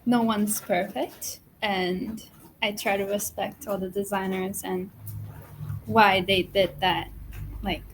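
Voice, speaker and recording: A woman whose first language is Japanese speaks as a guest knitwear designer. She answers thoughtfully and modestly, with gentle laughs. A faint hum of the shop sits behind her. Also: medium pitch, level tone, takes it slowly, low-quality recording. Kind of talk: casual interview answers. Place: yarn shop with a small gathering.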